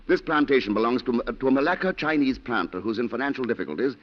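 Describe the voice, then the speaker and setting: A male actor plays an eager, trusting husband in 1940s radio drama. He is excitable and stammering, rushing along with an animated pitch. The sound is dulled like an old broadcast. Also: dull audio, natural voice. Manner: excitable, stammering, repeats his words.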